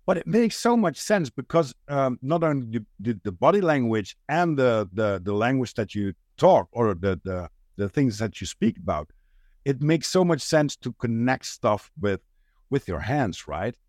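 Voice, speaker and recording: A man with a Dutch accent chats casually as host, stopping often to search for words. His pitch sits in a middling range, and the recording is close and dry. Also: big pitch swings, a little mellow, no echo. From Netherlands. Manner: halting, many restarts and fillers, searches for words. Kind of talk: casual conversation.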